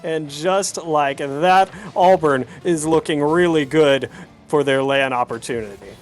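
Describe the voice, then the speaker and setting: Male game commentator with a somewhat high voice for live esports coverage. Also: a little slow. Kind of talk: live Rocket League commentary.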